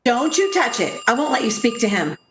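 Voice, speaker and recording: An actress playing an anguished woman haunted by a friend's dark deeds, in dramatic, emphatic 1940s radio style. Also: a little high, big pitch swings, fairly quick, a little hiss or hum. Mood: frantic pleading. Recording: roomy echo, music behind. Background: music.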